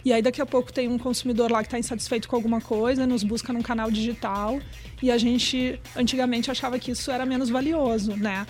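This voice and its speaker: A woman's voice: fairly high pitch, steady tone, quick pace, a little mellow. A middle-aged woman with a Brazilian accent, explaining things in a chatty, conversational way and often checking for agreement.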